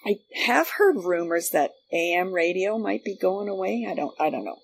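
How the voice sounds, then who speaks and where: Low-voiced woman chatting casually. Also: big pitch swings, normal speed, a little hiss or hum, poor audio. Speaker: podcast guest, former radio worker. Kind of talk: casual chat.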